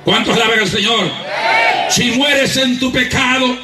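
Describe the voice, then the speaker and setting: Honduran preacher guy, super high voice, shouting and laughing, roomy echo, mic kinda far. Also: a little slow, heavy background noise. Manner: emphatic, often laughing, shouts out calls for praise and applause. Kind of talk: fervent evangelical sermon. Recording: echoey church hall miked from a distance.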